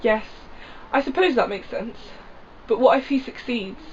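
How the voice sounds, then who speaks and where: A woman's voice: a little high, normal speed, highs cut off, slight background noise, lightly acted. An actress playing a young female companion who sounds worried and keeps questioning in a fan audio drama.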